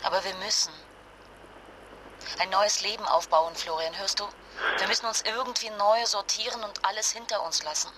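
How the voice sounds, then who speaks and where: A woman's voice: medium pitch, moderate pace, lightly acted. Woman acting stressed young mom on the run, emotional n urgent, radio play, noisy background. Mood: weary, resolute. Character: distraught young mother fleeing disaster with sick child. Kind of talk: naturalistic radio drama dialogue.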